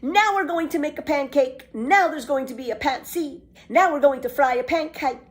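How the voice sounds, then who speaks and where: A woman's voice: high voice, moderate pace, pitched above the performer's own voice, close to an everyday voice. A woman voicing a bold, boastful little girl in a storybook, with theatrical, emphatic, carefree delivery. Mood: excited. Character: bold, boastful, exuberant little girl telling tall tales. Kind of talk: children's storybook read-aloud.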